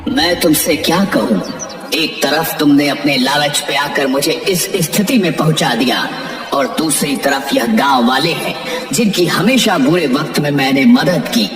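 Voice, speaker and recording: A woman voicing a sad, pleading magical well for a cartoon moral story, slow and low, with music behind. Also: lively intonation, echoey room, in a large hall. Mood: hurt, reproachful. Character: magical talking village well, sorrowful, pleading, male. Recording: music under the voice.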